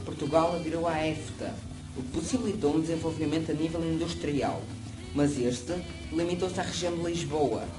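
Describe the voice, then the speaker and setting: A man reading a school history presentation aloud, steady and prepared. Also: on the high side, moderate pace, poor audio. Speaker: student narrator. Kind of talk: school project narration read aloud. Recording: music playing under the voice. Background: music.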